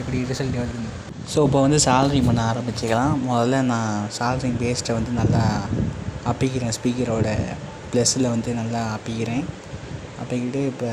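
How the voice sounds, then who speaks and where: A man narrating a casual how-to tutorial as an informal channel host, speaking directly to viewers. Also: mid-range voice. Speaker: host of a DIY electronics channel. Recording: clearly noisy amateur recording.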